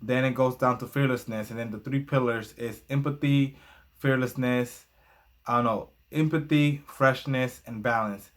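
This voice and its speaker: A man's voice: mid-range voice, steady tone, moderate pace. A young man whose first language is Spanish reviews a book in a casual vlog, hesitating often with fillers and glancing at his notes.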